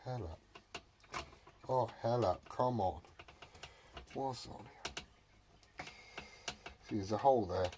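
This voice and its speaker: A man's voice: medium pitch, slow pace, long pauses. A British man rambling casually and jokingly to viewers while repairing something, swearing often and muttering to himself. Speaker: hobbyist repairer presenting a video. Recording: noisy home recording with clicks.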